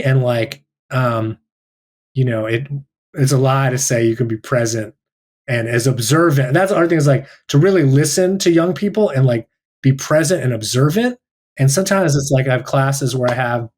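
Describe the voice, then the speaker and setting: A male teacher sharing personal reflections in a thoughtful, halting way with many restarts, over a video call. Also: mid-range voice.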